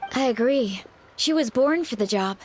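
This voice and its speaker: An actress playing a composed, discreet funeral parlor attendant in a fantasy video game, with a measured, formal, professional manner. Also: female voice, on the high side, expressive, a little mellow, slight background noise, natural voice. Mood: composed, courteous. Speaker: voice actor. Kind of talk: scripted video game dialogue.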